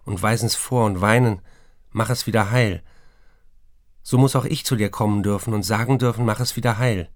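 A man playing a tormented, intense writer who confesses and narrates in measured, intimate speech in a radio play. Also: lowish voice, steady tone, no echo, studio quality, natural voice. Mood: pleading, tender.

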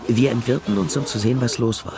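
An actor playing a wry, worldly private detective, narrating a radio crime drama with dry understatement. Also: male voice, medium pitch, expressive, fast, gravelly, heavy background noise. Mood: narrating, restrained. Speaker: narrator and lead character.

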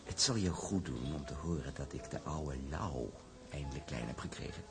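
A man's voice: extremely low pitch, expressive, normal speed, vocal fry, over music, full character voice. An actor playing a smug, domineering shipping tycoon in a drama, talking in a hearty, condescending way to his sweetheart. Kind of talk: acted period drama dialogue.

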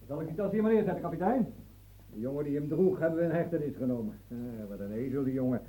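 A man's voice: on the high side, expressive, fast talker, mellow, natural voice, low-quality recording. An actor in a radio play voicing a blunt soldier reporting to his captain. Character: gruff soldier bringing a bag.

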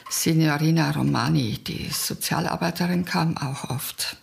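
An actress playing a weary, indifferent aunt in a radio crime drama, blunt, dry and resigned. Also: female voice, sits low, a little slow, vocal fry, full character voice. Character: weary, indifferent, plain-spoken aunt of delinquent boy.

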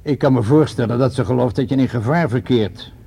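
An actor playing a cool, calculating villainous boss in a radio drama, measured and businesslike. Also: male voice, medium pitch, vocal fry, mellow, breathy edge.

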